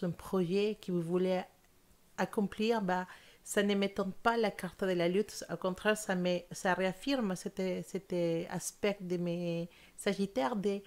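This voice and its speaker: A young woman whose first language is not French, giving a monthly tarot reading in an earnest, hesitant way with many fillers and restarts. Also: fairly low pitch. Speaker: tarot reader.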